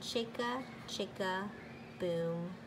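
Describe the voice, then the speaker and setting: A woman teacher reading a picture book aloud to children in a deliberate, sing-song, emphatic way. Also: mid-range voice, background noise.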